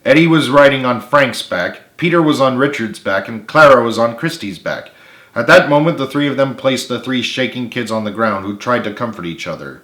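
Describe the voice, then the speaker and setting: A man's voice: medium pitch, lively intonation, normal speed, a bit bright. A man reading a story aloud as a dramatic narrator in an echoey room. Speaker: author reading own book.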